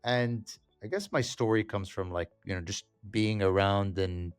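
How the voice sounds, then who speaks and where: Male podcast guest from Saudi Arabia, low pitch, halting conversational delivery, dry recording. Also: smooth, no room sound, faint music behind. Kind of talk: conversational interview, telling own story.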